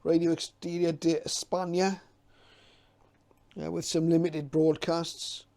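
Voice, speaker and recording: A man's voice: on the high side, flat delivery, slow pace, warm tone. A middle-aged man giving informal, unscripted commentary as a hobbyist presenter, hesitant and reminiscing as he goes.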